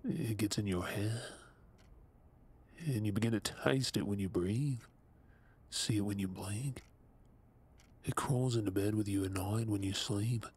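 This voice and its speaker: A man with an Australian accent playing a remorseful lab assistant in an audio drama, speaking in a deliberate way. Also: on the low side, a little mellow, slightly rough. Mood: haunted, somber. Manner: somber, deliberate, halting. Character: haunted, remorseful male former lab assistant. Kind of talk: dramatic audio roleplay acting.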